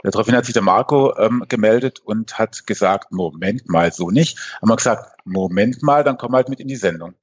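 A man's voice: fairly low pitch, fairly quick, hardly any pauses, slightly rough, lo-fi sound. A man co-hosting a podcast, talking in a loose, playful way with lots of restarts. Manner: playful, hypes guests, rambling restarts. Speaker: podcast co-host. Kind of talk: casual podcast conversation.

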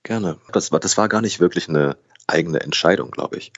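Deep-voiced young man in interview. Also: moderate pace, lo-fi sound. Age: 20s. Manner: thoughtful, restarts and self-corrections. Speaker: guest. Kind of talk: conversational interview.

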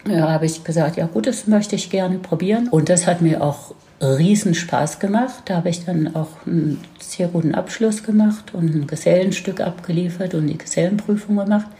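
A woman's voice: lowish voice, warm tone. A woman in her seventies with a Baden accent, a grandmother unhurriedly telling her life story on a podcast. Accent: Baden regional German. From near Heidelberg, Germany. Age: 70s. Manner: reminiscing, unhurried, with laughs. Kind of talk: casual storytelling.

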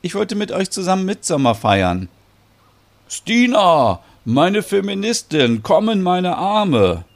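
A man voicing an affectionate, excited young woman in an audio story, with an eager delivery. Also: sits high, normal speed, hardly any pauses, voice pushed up.

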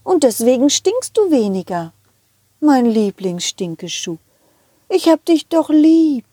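A woman's voice: high voice, very animated, slow, mellow, husky, no echo, studio quality, exaggerated voice. A woman voicing a motherly, loving centipede lady in a children's audio play, tender, coaxing and patient. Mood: patient, explaining.

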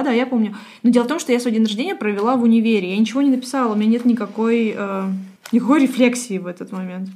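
A woman's voice: fairly high pitch, darkish tone. A woman in her late twenties co-hosting a podcast, chatting casually and self-ironically, thinking aloud with fillers. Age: late 20s. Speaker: podcast co-host. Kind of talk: casual chat. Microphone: podcast microphone.

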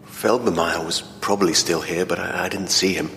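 A jittery, mournful theatre actor in an audio drama, very deep in voice. He hesitates and stumbles uneasily over his words. The room is echoey, with long reverb and a little noise. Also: steady tone, brisk, vocal fry, a bit bright. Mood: uneasy. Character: nervous, grieving male stage actor, morbidly superstitious. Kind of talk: full-cast audio drama acting.

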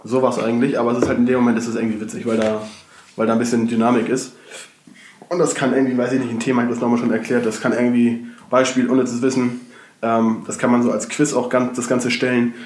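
A man co-hosting a casual podcast from his shared flat, slightly congested from a cold, rambling with many fillers and restarts. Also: mid-range voice, fast, echoey room, slight background noise. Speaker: podcast co-host. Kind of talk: casual chat.

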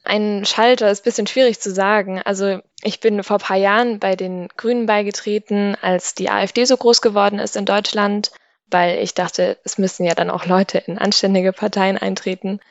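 A woman's voice: medium pitch, steady tone, moderate pace, no room sound, muffled recording. A woman in her twenties talking casually as a guest on a discussion panel. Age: 20s. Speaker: panel guest, city councillor. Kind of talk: casual interview talk.

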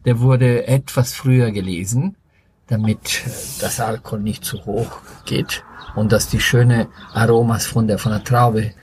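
A man's voice: medium pitch, steady tone, measured pace, a little mellow, a little hiss or hum. An Italian man with an Italian accent, a winemaker guest speaking German as a second language, carefully searching for his words outdoors. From Italy. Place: outdoors at a wine estate.

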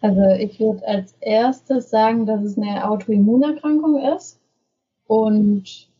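Mellow, slightly rough young woman, muffled. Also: fairly high pitch, slow pace. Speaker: podcast participant, self-help group member. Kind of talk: conversational podcast talk.

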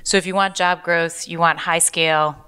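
Clear, smooth American woman, lower voice. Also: fairly even intonation, moderate pace, pushed and bright. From born in Hong Kong, raised in Asia and Seattle. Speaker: podcast guest, university lecturer and author. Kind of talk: live interview, autobiographical account.

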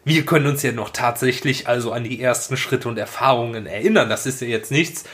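A man's voice: medium pitch, brisk, fairly bright tone. A man in his late thirties chatting casually and humorously, telling anecdotes in a colloquial, sweary, mock-dramatic way. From Germany, Rhineland. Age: late 30s. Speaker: podcast co-host.

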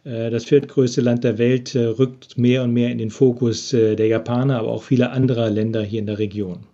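A man's voice: mid-range voice, little pitch movement, a little fast, warm tone, dull audio. A man being interviewed from abroad about business, answering with many hesitant filler sounds over a remote connection. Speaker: business expert interviewed from Japan.

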